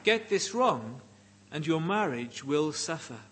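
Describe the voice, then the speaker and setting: A middle-aged man with a Welsh accent preaching a sermon in deliberate, emphatic phrases with pauses. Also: a little high, big pitch swings, fairly bright tone. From Wales.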